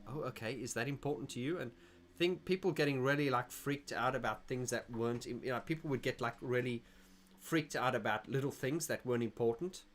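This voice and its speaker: A man's voice: medium pitch, brisk, hardly any pauses, a little hiss or hum. A middle-aged man from South Africa hosting a casual livestream, reading viewer comments aloud and rambling with frequent restarts. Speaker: livestream host.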